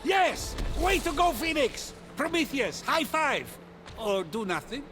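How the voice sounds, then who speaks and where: An actor playing an excitable, vain and comical god who comments on the story in a video game. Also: male voice, very high-pitched, slow pace, music in the background, heavily acted. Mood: elated.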